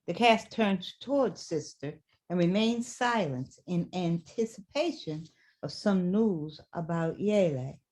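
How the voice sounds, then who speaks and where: A woman narrates the stage directions of a play from a script. Her voice is lowish and very mellow, and she takes her time over each line. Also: lively intonation, slow.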